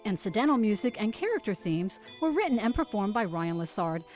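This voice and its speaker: A woman reads scripted credits as an announcer. Her mid-pitched voice moves in a very animated way from phrase to phrase. Faint theme music plays underneath. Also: fairly quick.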